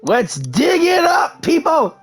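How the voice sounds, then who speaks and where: A woman's voice: high voice, huge pitch swings, slow, full character voice. A woman voicing a bold, wry young traveler heroine in a fantasy video game, with faint music behind. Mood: shouting.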